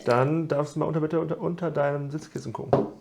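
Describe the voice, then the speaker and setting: A man assisting on a comedy game show, briskly reading out scores and answering the judge. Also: a little high, fairly even intonation, creaky, heavy background noise. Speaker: taskmaster's assistant.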